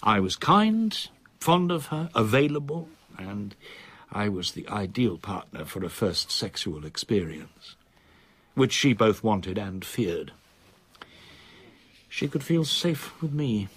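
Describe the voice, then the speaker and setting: An actor playing a reserved solicitor in his sixties in a radio drama, with a measured, formal, precise manner. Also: on the low side, animated, a bit bright, husky, dry recording, heavily acted. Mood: wistful, confessional. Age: 60s. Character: reserved older male solicitor, in his sixties, quietly confiding.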